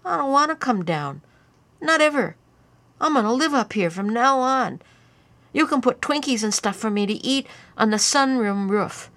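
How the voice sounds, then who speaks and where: A woman's voice: on the high side, animated, normal speed, fairly bright tone, pitched above the performer's own voice. A woman voicing a giggly tipsy boy of ten. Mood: giggly taunting. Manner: giggling, slurry, singsong teasing.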